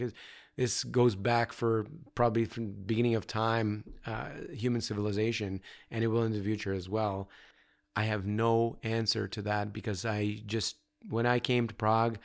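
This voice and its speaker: A man speaking as an artist guest in an interview, thoughtful and rambling with many fillers, recorded in his studio. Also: lowish voice. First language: not Czech; grew up in North America. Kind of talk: conversational interview.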